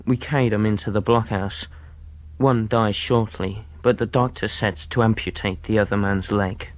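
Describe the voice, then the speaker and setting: An actor playing a frightened, earnest lad with a husky voice, narrating his adventure in a radio drama. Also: fairly low pitch, steady tone, moderate pace, no room sound, natural voice. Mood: shaken, recounting.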